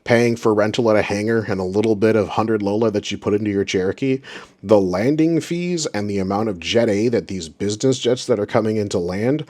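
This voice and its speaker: A man's voice: on the low side, brisk, a little mellow. A man giving informal commentary as an aviation audio reviewer, with an exasperated, sarcastic way of ranting. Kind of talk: informal commentary on radio recordings.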